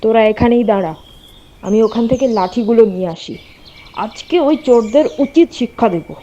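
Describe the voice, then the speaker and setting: A woman's voice: on the high side, animated, a little fast, warm tone, muffled recording, lightly acted. A woman playing a protective, fiery homemaker in a doll-story drama, speaking theatrically and emphatically. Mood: determined.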